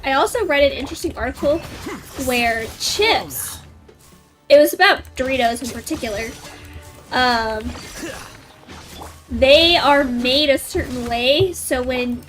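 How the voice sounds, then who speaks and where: A high-pitched woman streams a game and chats off the cuff. Her talk is informal and rambling, with soft music behind her. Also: animated, measured pace, pauses often. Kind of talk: casual chat while playing a game.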